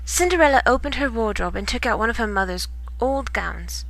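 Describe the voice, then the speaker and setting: A woman reading a children's bedtime story in expressive storybook narration. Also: a little high, fairly quick, gravelly, low-quality recording.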